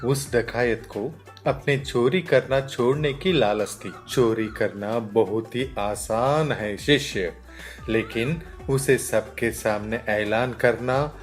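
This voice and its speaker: A man playing a wise, patient spiritual teacher in a cartoon moral story, calm and reassuring as he preaches. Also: mid-range voice, takes it slowly, lightly acted. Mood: calm, instructive. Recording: music behind the voice.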